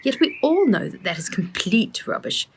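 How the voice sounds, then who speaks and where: A woman's voice: mid-range voice, sing-song, normal speed, slight background noise, poor audio. A woman voicing an earnest, observant boy who reasons carefully in a children's storybook. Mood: suspicious, reasoning. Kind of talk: children's storybook read aloud.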